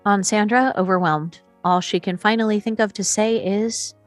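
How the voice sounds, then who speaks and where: A woman's voice: mid-range voice, steady tone, moderate pace, no echo, soft background music. A woman reading scripted stage directions as the narrator of a screenplay table read.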